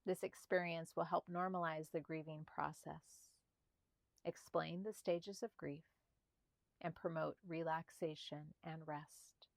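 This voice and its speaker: A woman guiding a meditation in a soft, slightly breathy voice, speaking calmly and soothingly in short, spaced phrases. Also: low voice, slow, no echo. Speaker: meditation guide. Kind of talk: guided meditation narration.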